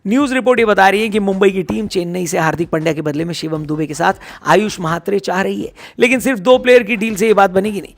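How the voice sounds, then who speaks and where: A hoarse man giving casual cricket news commentary, chatty and teasing as he talks straight to his viewers. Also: high voice.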